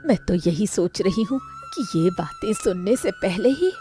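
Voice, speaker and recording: A woman's voice: mid-range voice, wildly swooping pitch, moderate pace, dark tone, faint music behind. A woman playing an anxious, sorrowful elderly village mother in a cartoon story, with a plaintive, lamenting manner. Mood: hurt, sorrowful. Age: older. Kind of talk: Hindi moral cartoon story dialogue.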